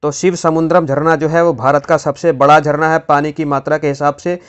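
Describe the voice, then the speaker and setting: Man teaching exam facts, smooth voice. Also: a little high, flat delivery, a little fast, muffled recording. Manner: repeats key facts, quizzes the viewer. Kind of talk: exam-prep geography lesson.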